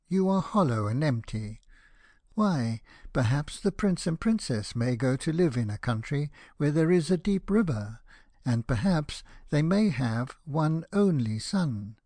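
Pompous storybook rocket, acted by a man, self-obsessed, indignant, self-pitying, declaiming, dark-toned, dry close sound. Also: fairly high pitch, no room sound, voice pushed up. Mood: mournful, melodramatic. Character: pompous, self-obsessed, easily offended male firework rocket. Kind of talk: dramatized storybook reading.

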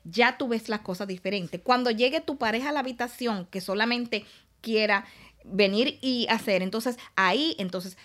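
A woman's voice: on the high side, normal speed. A woman with a Dominican accent, a guest on a podcast, animatedly explaining and selling products in casual chat. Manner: animated, salesy, repeats words.

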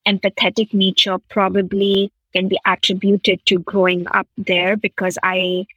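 A woman's voice: medium pitch, level tone, normal speed, fairly bright tone, slightly rough, no room sound, highs cut off. A woman raised in the Middle East, a podcast guest talking earnestly and enthusiastically about her past in long flowing sentences. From India, raised in Qatar. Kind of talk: reflective conversational interview.

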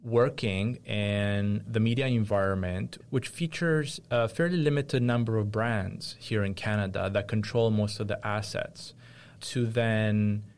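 A man hosts a conversational interview. His voice is on the low side, and he builds his questions deliberately, sounding attentive to his guest. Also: clean tone. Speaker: interviewer, host.

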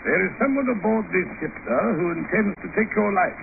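Oily, courtly schemer, high lilting delivery, florid politeness, noisy vintage radio serial. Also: male voice, animated, brisk, creaky. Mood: courteous, confiding. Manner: florid, over-polite, chuckling. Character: suave, oily, falsely friendly shipping magnate of intrigue. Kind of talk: 1950 radio drama acting. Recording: hissy, tinny old radio broadcast recording.

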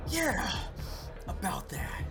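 An actor playing a brash, greedy, smug fight hustler in an audio drama, rowdy and cajoling. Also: male voice, sits high, big pitch swings, slow, vocal fry, over music, full character voice. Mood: smug, wheedling.

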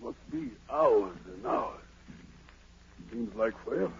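An actor playing a frightened, boastful fugitive emperor with a gravelly American voice in 1940s radio drama, theatrically muttering to himself and crying out. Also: male voice, fairly low pitch, animated, slow pace. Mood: weary bravado. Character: fleeing self-made emperor, frightened, boastful, haunted, superstitious fugitive. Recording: noisy, muffled 1940s radio broadcast.

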